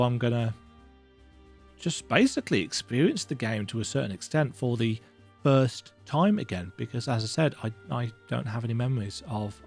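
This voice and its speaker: Guy in his 30s just chatting through a game, kinda self-deprecating, bit of music behind him. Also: mid-range voice. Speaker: video game let's play host. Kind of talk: casual, rambling gaming commentary.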